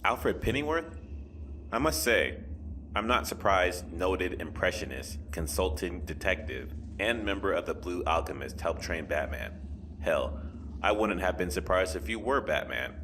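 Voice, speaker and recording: An actor playing a confident, wry, good-hearted superhero in an audio drama, speaking conversationally. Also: male voice, deep voice, lively intonation, unhurried, noisy background.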